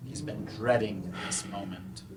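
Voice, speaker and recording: A man's voice: on the low side, measured pace. A man reading scripted stage directions as narrator at a live play reading. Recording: faint noise, live room ambience.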